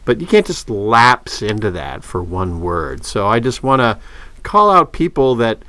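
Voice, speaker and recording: A man's voice: lowish voice, big pitch swings, a little slow, bright, forceful tone. A middle-aged man co-hosting a podcast, chatting casually and rambling through stories with many restarts. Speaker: podcast co-host. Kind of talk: casual chat.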